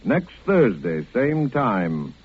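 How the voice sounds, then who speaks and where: A man reading a scripted 1940s radio closing announcement, husky, on a tinny, narrow old recording. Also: medium pitch, sing-song, extremely slow.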